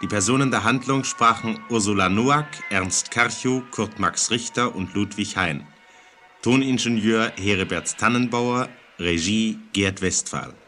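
Male announcer, 1940s radio credits, bright forceful tone, lowish pitch, old recording. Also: unhurried, soft background music.

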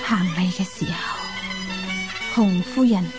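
A woman's voice: lowish voice, slow pace. A woman in a radio drama playing a reflective, respectful woman recalling her past. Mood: wistful, respectful. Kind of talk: radio drama narration in recollection. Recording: radio broadcast with music underneath.

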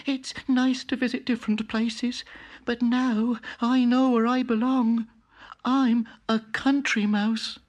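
A woman voicing a humble, wide-eyed female country mouse in a storybook, speaking softly and earnestly. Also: on the high side, level tone, slow, voice pushed up. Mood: settled. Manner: soft, earnest and gentle.

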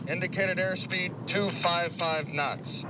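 An actor playing a calm, exact military tanker pilot in mid-century TV drama style, with crisp procedural radio delivery. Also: male voice, high-pitched, takes it slowly, heavy background noise. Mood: businesslike.

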